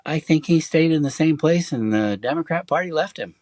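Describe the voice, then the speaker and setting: A middle-aged man raised in Germany, answering interview questions in a halting, hesitant way with many fillers. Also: a little high, lively intonation, a little mellow, no room sound, studio quality. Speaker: interview guest. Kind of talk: casual conversation.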